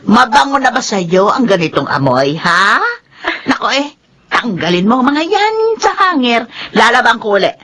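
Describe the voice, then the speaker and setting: Elderly mother-in-law, very animated pitch, bright forceful tone, exclamatory, Filipino radio drama. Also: normal speed, exaggerated voice. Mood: exasperated scolding. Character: elderly scolding grandmother and mother-in-law, doting on grandsons.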